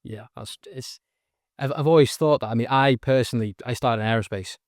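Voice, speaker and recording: A man's voice: mid-range voice, fairly quick, no room sound, studio quality. A man from northern England hosting an interview, asking questions in a casual, hesitant way with many fillers. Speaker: interviewer, host.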